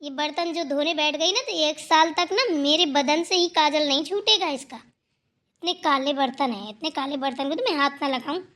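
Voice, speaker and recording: Woman voicing a very high-pitched, haughty sister-in-law. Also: normal speed, a bit bright. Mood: demanding, scornful. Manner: scolding, sarcastic, dismissive. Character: haughty, quarrelsome elder sister-in-law in village household. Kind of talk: acted dialogue in Hindi family cartoon.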